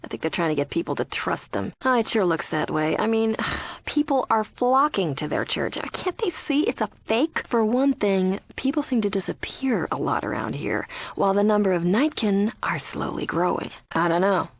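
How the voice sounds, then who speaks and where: An actress playing a wary, sardonic young spy undercover in a cult, speaking conversationally as a video game character. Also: female voice, mid-range voice, lively intonation, normal speed, few pauses. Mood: earnest, conspiratorial.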